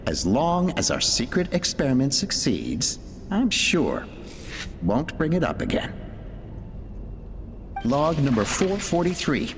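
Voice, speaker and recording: An actor playing a solemn, ruthless male researcher dictating experiment logs in a video game, grave and deliberate. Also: fairly high pitch, full character voice. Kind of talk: dramatic log narration in a video game. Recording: long reverberant hall, faint noise.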